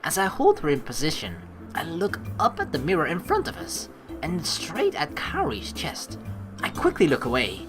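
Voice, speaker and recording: Female let's player, slightly low and very animated, playful and teasing, reads story text and comments over soft game music. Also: moderate pace. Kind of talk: gaming commentary mixed with reading visual novel text aloud.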